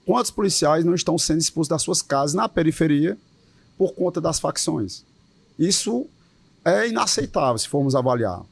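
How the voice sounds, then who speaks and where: Homem de voz aguda, enfático e sério. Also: male voice, a little high, animated, moderate pace, creaky, slightly rough, dry recording. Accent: Cearense Portuguese. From Ceará, Brazil. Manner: earnest, emphatic, with repeated words. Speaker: police association president. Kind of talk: interview answers.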